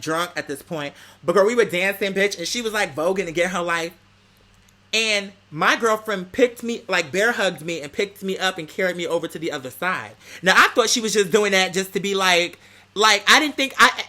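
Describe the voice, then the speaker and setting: A man's voice: sits high, sharp tone. A man chatting casually to supporters like a podcast host, in a sassy, slangy, emphatic storytelling way. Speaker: online creator and podcast host. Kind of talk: casual gossipy vlog chat to supporters.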